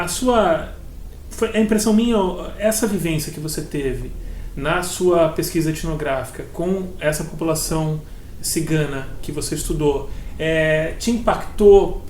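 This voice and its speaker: A man's voice: fairly high pitch, moderate pace, darkish tone. A man interviewing a guest, asking long searching questions full of fillers and restarts. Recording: echoey room with faint noise.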